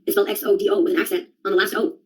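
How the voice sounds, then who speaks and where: A woman's voice: mid-range voice, steady tone, rapid-fire, slightly rough. A young adult woman in a rambling solo monologue, sardonic and profane, jumping from one topic to the next. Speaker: vlogger.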